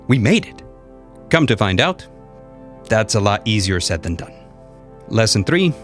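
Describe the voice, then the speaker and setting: Low-voiced man telling his story over music. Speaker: voice actor and content creator. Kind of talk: personal storytelling with numbered lessons. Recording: music behind the voice. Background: background music.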